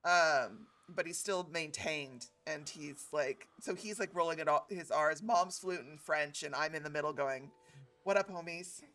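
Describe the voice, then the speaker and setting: A woman's voice: sits low, a little slow, a bit bright. A young woman from California co-hosting a game stream, chatting casually and laughing often. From West Coast of California. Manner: chatty, laughing, mixes in foreign phrases. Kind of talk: casual chat.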